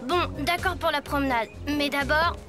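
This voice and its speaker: A woman's voice: high voice, normal speed, music in the background, natural voice. A woman voicing a plucky, easygoing young schoolboy hero in a cartoon, often bemused. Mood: curious, upbeat. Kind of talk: cartoon dub.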